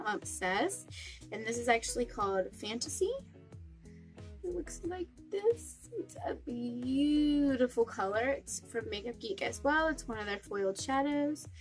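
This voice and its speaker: A woman's voice: a little high, animated, unhurried, faint music behind. A young woman with a cold and a stuffy nose, chatting through a makeup tutorial step by step. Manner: chatty, friendly step-by-step explaining. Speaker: beauty vlogger.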